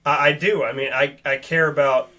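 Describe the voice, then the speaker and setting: A young man with a bright, tense, fairly high voice, hosting a talk show. He talks earnestly and at length, his thoughts spilling out in an animated ramble. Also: normal speed. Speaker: podcast host. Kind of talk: casual chat.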